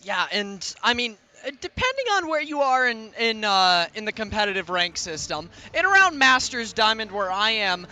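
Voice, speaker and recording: A man's voice: sits high, very animated, moderate pace, hardly any pauses, pushed and bright. A young man doing play-by-play commentary on a school esports match, calling action that builds in energy during fights. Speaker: play-by-play caster.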